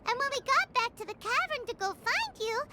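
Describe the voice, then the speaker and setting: A woman voicing a small, excitable fairy-like girl companion in a video game, with a chirpy, childlike, chatty manner. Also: extremely high pitch, brisk. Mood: explaining, eager. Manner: chirpy, refers to self in third person. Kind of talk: scripted video game character acting. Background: music.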